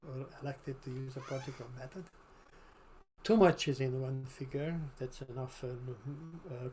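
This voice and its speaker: An older man with a Hungarian accent, giving a webinar lecture over slides in a halting way, with repeated words and fillers. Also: medium pitch, a little mellow, slight background noise, poor audio. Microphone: video call.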